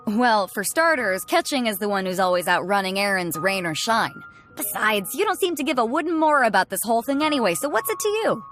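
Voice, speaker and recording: Brash, animated sea captain. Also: female voice, on the high side, brisk, faint music behind. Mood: blunt, bantering. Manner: brash, casual. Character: bold, blunt, easygoing female sea captain. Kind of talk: video game dub.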